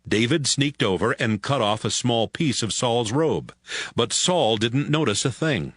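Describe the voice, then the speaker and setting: A man's voice: mid-range voice, expressive, measured pace, a bit bright, no room sound, clean studio sound. A man narrating a dramatized audio Bible as a scripted story.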